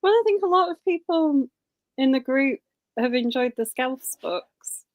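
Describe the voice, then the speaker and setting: Dry, high-voiced woman hosting. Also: animated, measured pace, dry recording. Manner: friendly, conversational, small stumbles. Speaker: book club host. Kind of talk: casual live-stream chat.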